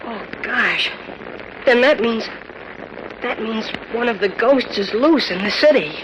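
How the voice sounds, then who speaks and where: A man's voice: extremely high pitch, a little slow, muffled recording. A man playing a jumpy, slangy teenage boy cub reporter in an old radio serial, on a noisy vintage recording. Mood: alarmed. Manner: slangy, excitable, nervous. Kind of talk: 1940s radio serial acting.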